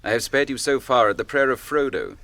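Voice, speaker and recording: A man's voice: medium pitch, fairly bright tone, dry recording, natural voice. A man playing a stern, commanding captain. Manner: grave, measured and authoritative. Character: stern noble male captain of men, grave and commanding. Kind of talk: radio drama acting.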